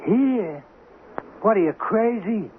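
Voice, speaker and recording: An actor playing a small-time gambling hustler and exasperated boyfriend in a 1970s radio drama, slangy, pleading and incredulous. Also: male voice, high voice, expressive, slow pace, narrow, tinny audio. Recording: thin, noisy vintage radio recording.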